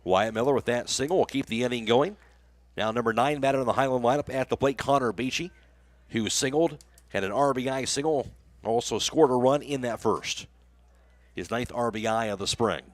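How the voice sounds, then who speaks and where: A man's voice: medium pitch, normal speed, no room sound. American man calling baseball play-by-play, dry sound. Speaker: radio play-by-play baseball announcer. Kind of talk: live sports commentary.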